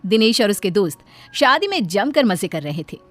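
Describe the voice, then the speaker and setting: A woman's voice: medium pitch, very animated, moderate pace. An Indian woman narrating a scripted bedtime story as a storyteller. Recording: background music under the voice.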